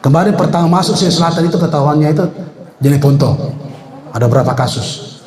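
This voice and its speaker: A man's voice: a little high, expressive, quick pace, a little mellow. Older man speaking in an echoey room. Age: 60s. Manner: chatty and joking, with many hesitations. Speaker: retired health official addressing an association meeting. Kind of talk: informal speech at a meeting.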